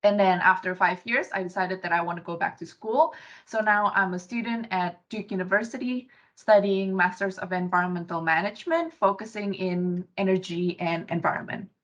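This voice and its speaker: A woman from Indonesia in her thirties, a graduate student intern giving a research presentation on a video call, soft-spoken with many fillers and restarts. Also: mid-range voice, fairly even intonation, normal speed. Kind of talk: virtual poster presentation. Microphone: computer mic on a video call. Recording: dull lo-fi video call audio.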